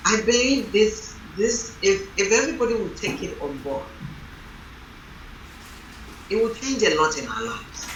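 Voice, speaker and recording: A woman reverend leading a panel on marriage, talking in a loud, strong voice and telling stories with emphasis. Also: mid-range voice, lively intonation, unhurried, pauses often, lo-fi sound, a little hiss or hum. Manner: emphatic, storytelling. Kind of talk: panel discussion. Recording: roomy hall from a distant mic.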